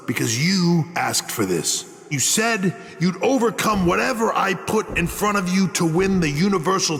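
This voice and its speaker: An actor playing a menacing, smug wrestling boss who taunts in a deliberate promo speech. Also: male voice, on the high side, creaky. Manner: slow, taunting, deliberate pauses. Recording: hall echo, faint background noise.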